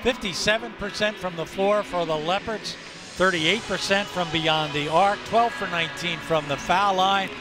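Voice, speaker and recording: A man's voice: high-pitched, moderate pace, music in the background. An older man hosting a basketball broadcast wrap-up, reading out stats and results on a headset mic. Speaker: play-by-play announcer and host. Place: courtside in a college basketball arena.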